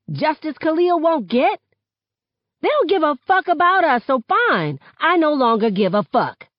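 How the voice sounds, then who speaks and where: A woman's voice: medium pitch, no room sound, dull audio. A woman voicing a grieving, furious teenage girl in an audiobook, impassioned and sweary. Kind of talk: first-person audiobook narration.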